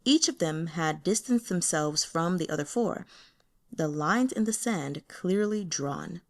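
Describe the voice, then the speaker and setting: A woman's voice: lowish voice, animated, a little slow. A woman reading a fantasy novel aloud as scripted audiobook narration. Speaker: audiobook reader.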